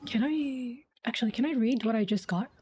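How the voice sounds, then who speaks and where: A woman giving casual commentary while playing a game. Her voice is relaxed and dark in tone though slightly high in pitch, and she thinks aloud with blunt swearing. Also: a little fast, a little hiss or hum. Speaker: game streamer.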